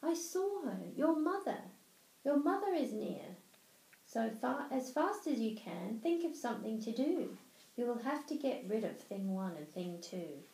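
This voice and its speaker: A woman's voice: a little high, big pitch swings, unhurried, dark tone, reverberant, slight background noise, low-quality recording. A woman with an Australian accent voicing a small, anxious, scolding pet fish in a children's storybook, urgent and fretting. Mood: panicked.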